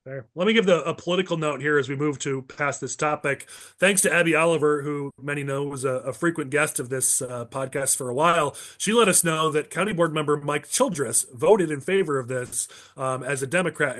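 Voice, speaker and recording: Man, podcast host, political panel talk, a touch high-pitched, voice going hoarse. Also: a bit bright. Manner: brisk, partisan, moves through agenda. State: losing his voice.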